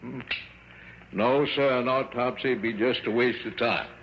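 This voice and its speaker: Male actor as small-town doc, easily startled and deferential, medium pitch, vintage radio play, slightly noisy dull recording. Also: moderate pace, natural voice. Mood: reluctant. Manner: deferential, folksy, excitable. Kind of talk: 1960s radio drama acting. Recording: muffled old radio recording, faint noise.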